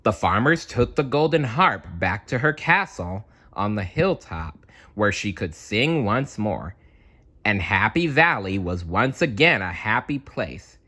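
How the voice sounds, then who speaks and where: A man reading a children's story aloud with playful, dramatic, expressive narration. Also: lowish voice, unhurried.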